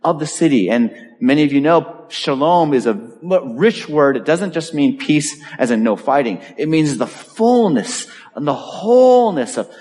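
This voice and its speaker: A man's voice: fairly high pitch, lively intonation, a little slow, few pauses, darkish tone, big-room sound. A man pastor preaching a sermon, driving points home with emphatic repetition and telling a personal story. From Boston area, US. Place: church.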